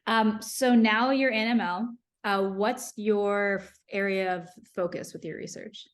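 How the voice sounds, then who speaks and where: A young woman hosting a podcast over a video call, asking quick, upbeat questions. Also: medium pitch, steady tone. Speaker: podcast host. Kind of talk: friendly interview hosting.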